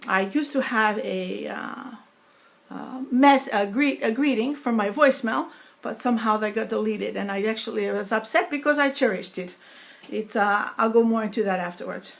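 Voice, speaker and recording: A middle-aged woman whose speech disorder gives her a foreign-sounding accent, talking slowly and haltingly with many pauses and fillers. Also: fairly high pitch, vocal fry. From New York. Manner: slow halting speech, many fillers, dropped in-between words. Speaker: vlogger sharing her experience. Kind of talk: personal talk to camera.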